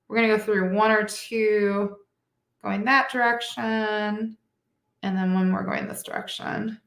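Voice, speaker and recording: Congested, nasal woman, middle-aged, unhurried live craft-demo delivery, some vocal fry, roomy echo. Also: medium pitch, fairly bright tone. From Michigan. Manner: chatty, with small laughs and self-corrections. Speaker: beading instructor.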